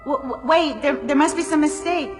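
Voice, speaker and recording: A woman's voice: high-pitched, normal speed, music in the background, lightly acted. An actress playing a polite, flustered, earnest young woman shopper in a radio drama, speaking hesitantly. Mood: alarmed, indignant.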